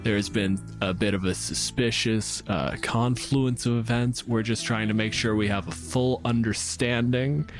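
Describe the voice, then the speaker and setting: A man's voice: medium pitch, steady tone, unhurried, hardly any pauses, faint music behind, close to an everyday voice. A man playing a courteous, officious town guardsman in a tabletop game, hedging as he speaks in an official manner. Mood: measured, official.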